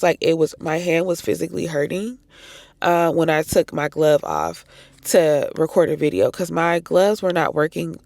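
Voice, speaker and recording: An American woman with a raspy voice, hoarse from a recent cold, casually recapping a trip to viewers in an emphatic, conversational way. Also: lowish voice, darkish tone, dry recording, clean studio sound. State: hoarse from recent congestion and cough. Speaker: travel vlogger.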